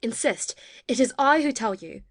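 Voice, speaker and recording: A woman's voice: fairly high pitch, lively intonation, normal speed, dry recording. A woman playing a cheeky, meddling maidservant, saucy and insistent, in a classic comedy audio play. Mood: saucy, conspiring.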